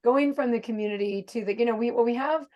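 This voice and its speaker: A woman's voice: on the high side, fast talker, darkish tone. A woman hosting a casual video-call interview, rambling and thinking aloud with many fillers. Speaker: host.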